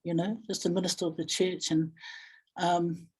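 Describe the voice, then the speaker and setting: Husky, reflective New woman. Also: fairly low pitch, flat delivery, a little mellow, rough recording. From Samoa or Samoan community in New Zealand. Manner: halting, thinking aloud, repeats phrases. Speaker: guest speaker, family violence practitioner. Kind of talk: reflective webinar talk, storytelling.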